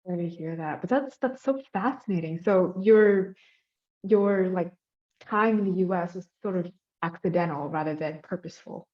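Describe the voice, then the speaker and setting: A woman's voice: lowish voice, moderate pace. Young woman interviewer, filler-heavy questions. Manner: friendly, filler-heavy, with many ums and likes. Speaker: student interviewer. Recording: slightly dull lo-fi audio.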